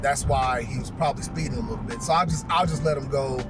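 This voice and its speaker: A man's voice: medium pitch, fast. A man telling a story casually to viewers in a conversational way, repeating phrases for emphasis. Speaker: police chief telling stories. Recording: distant mic, music playing behind. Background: clearly audible music.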